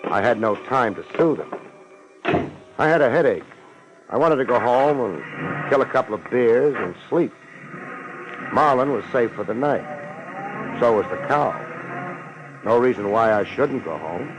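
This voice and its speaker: An actor playing a tough, weary private detective in a 1950s radio drama, American accent, terse wisecracking delivery. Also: male voice, medium pitch, expressive, a little slow, long pauses, muffled recording, music in the background, natural voice. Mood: wry narration. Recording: noisy 1950s radio recording.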